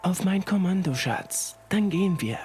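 A man's voice: sits high, lively intonation, normal speed, a little mellow, faint music behind, pitched above the performer's own voice. A man playing a frightened, protective husband in a horror audiobook, giving urgent instructions and trying to sound confident. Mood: urgent resolve.

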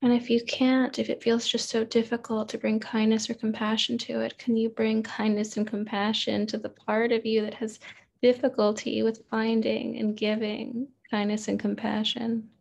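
A woman therapist leading a guided meditation in a gentle, unhurried manner, with pauses and open questions. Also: on the high side, level tone, creaky.

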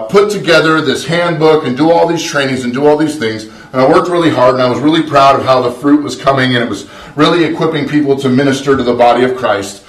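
A middle-aged pastor preaches in an earnest, conversational way, often doubling back on his words. His voice sits at an everyday male pitch. It is captured from across a small sanctuary, so it sounds distant and roomy. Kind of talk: sermon. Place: small church sanctuary, indoors. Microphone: far camera, no visible mic. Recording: roomy echo from far off.

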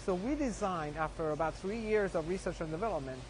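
A man's voice: high-pitched, fairly quick. A man speaking as a company spokesman in a promotional interview. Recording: clear background noise.

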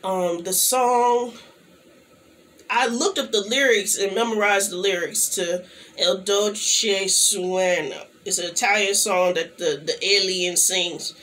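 A young woman chatting casually to the camera in a small room, clearing her throat now and then. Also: mid-range voice. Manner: slow, relaxed talk with frequent throat clearing. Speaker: channel host. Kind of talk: casual vlog chat. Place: small indoor room, plain backdrop.

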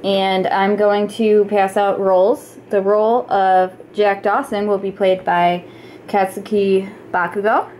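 A woman voicing a calm, hesitant male hero teacher in an amateur puppet show, speaking patiently and haltingly. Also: medium pitch, a little hiss or hum, lightly acted.

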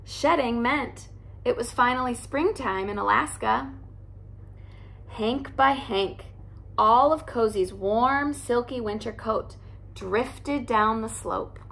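A young woman, a teacher, reading a children's picture book aloud in an animated, expressive way at home. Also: on the high side, slow pace, creaky. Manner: animated, expressive storytelling with character voices. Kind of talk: storybook read-aloud. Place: living room by a fireplace, indoors.

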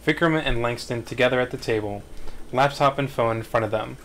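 A man's voice: mid-range voice, brisk, fairly bright tone, a little hiss or hum. A man narrating the stage directions of a screenplay at a live table read.

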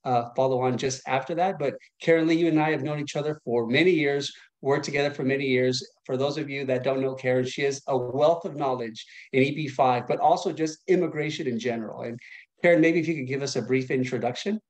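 A man's voice: mid-range voice, fairly quick, poor audio. Polished male webinar host. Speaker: webinar host, business executive. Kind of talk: hosting a webinar.

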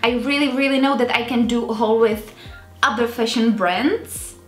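A woman's voice: a little high, fairly even intonation, moderate pace, a bit bright. A woman in her twenties with a Czech accent, chatting casually to camera in a rambling, self-mocking way as a video blogger. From Czech Republic. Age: 20s. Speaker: video blogger presenting a clothing haul. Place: small indoor beauty room with vanity. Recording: roomy sound, music underneath.

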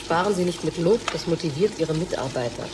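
A woman's voice: sits low, expressive, brisk. An actress playing a sarcastic, needling woman colleague at a drug firm, in a German radio drama. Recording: echoey room with clear background noise.

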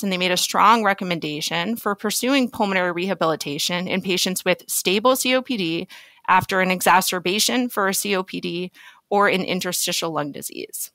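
Female physician guest, mid-pitched, measured explainer, podcast interview, dry recording. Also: bright, forceful tone, dry recording.

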